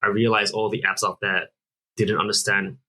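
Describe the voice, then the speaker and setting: Guest, male, 30s, from Singapore; low husky voice, earnest explainer. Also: flat delivery, normal speed, pushed and bright, poor audio. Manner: earnest, explanatory, with frequent restarts. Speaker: app founder guest. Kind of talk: conversational interview answers.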